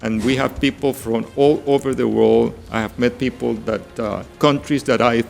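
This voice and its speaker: A middle-aged man with an Ecuadorian Spanish accent speaks earnestly as an interview guest. His voice is creaky and gravelly at an ordinary male pitch. Faint music runs underneath. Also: steady tone, moderate pace, darkish tone. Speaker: ship director, interview guest.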